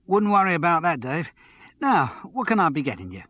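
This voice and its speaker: A man's voice: a little high, big pitch swings, brisk, bright, forceful tone, no room sound, muffled recording, pitched above the performer's own voice, full character voice. A man voicing a chatty, ingratiating pub barman in an audiobook story. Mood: fawning. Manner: eager, ingratiating.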